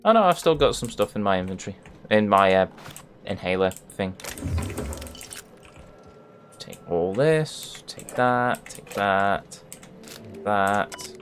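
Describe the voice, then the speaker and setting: Slow, animated game streamer muttering along. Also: sits low, slight background noise. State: sluggish, not fully awake. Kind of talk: casual gameplay commentary.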